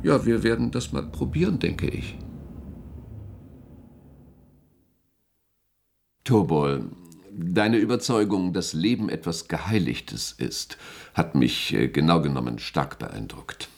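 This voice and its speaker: An actor playing an impatient government official in a German radio play, formal and bitingly sarcastic. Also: male voice, low-pitched, sing-song, a little slow, creaky, a little mellow, slight background noise, heavily acted. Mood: measured. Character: sardonic, impatient male government official named Charles.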